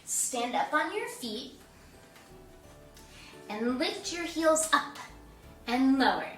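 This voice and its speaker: Woman, children's story time host, high-pitched, singsong instructions, distant roomy mic, background music. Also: big pitch swings, takes it slowly, a bit bright. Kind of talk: children's read-aloud and movement lesson.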